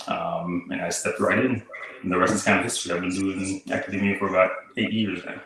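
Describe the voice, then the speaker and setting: A man in his thirties from Haiti, a podcast guest telling his story in relaxed casual chat, full of "like" and "kinda" fillers. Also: deep voice, a little fast. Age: 30s. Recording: echoey room.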